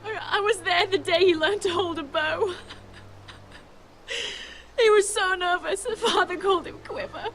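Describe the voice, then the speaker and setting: A woman playing a grieving young noblewoman in a fantasy video game, speaking in a raw, emotional, halting way. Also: very high voice, fairly bright tone, slight background noise, full character voice. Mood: grieving, bitter. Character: grieving young noblewoman mourning her murdered brother.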